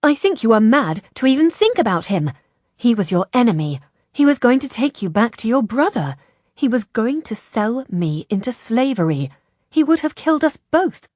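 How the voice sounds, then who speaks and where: A woman voicing a defiant young female fighter, blunt and forceful, in an audiobook. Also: medium pitch, animated, normal speed, breathy edge, no echo, studio quality. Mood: fierce, angry.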